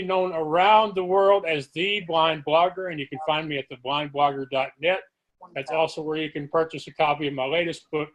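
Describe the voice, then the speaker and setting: A man hosts a casual interview show. He talks in a friendly, halting way and stumbles over names as he introduces his guest. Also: a little high, rough recording. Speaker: podcast host.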